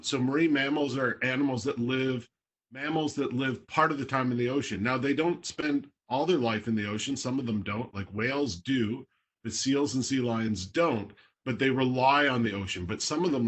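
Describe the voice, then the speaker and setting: A man's voice: mid-range voice, normal speed. A man from Toronto, Canada, a marine biologist talking casually on a video call, explaining in plain words with a few fillers.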